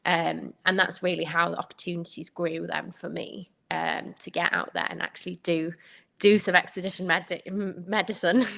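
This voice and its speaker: A young Welsh woman whose first language is Welsh speaks as a guest in an interview. Her voice sits fairly low and is gravelly, with plenty of fry. She talks in a chatty way and laughs easily between her points. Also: fairly even intonation, normal speed, fairly bright tone. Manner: chatty, laughs often, many fillers. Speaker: guest, anaesthetics trainee. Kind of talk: casual interview answers.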